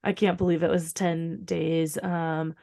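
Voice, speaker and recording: Slightly hoarse woman, casual and rambling. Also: deep voice, little pitch movement, a little slow, mellow. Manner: rambling, informal, frequent swearing. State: slightly hoarse, recovering from voice loss. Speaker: podcast co-host. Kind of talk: casual chat.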